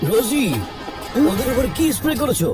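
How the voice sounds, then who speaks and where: Cartoon bad guy, menacing n scheming, voice jumps around, loud music behind. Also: extremely high pitch, lively intonation, a little fast. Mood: scheming, urgent. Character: scheming, menacing adult male cartoon villain, easily rattled. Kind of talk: exaggerated cartoon dub acting.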